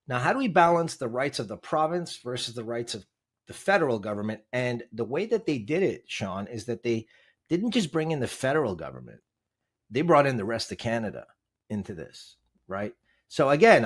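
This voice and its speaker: A man, a podcast guest, explaining legal text in conversation, emphatic and quizzing the host with rhetorical questions. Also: medium pitch.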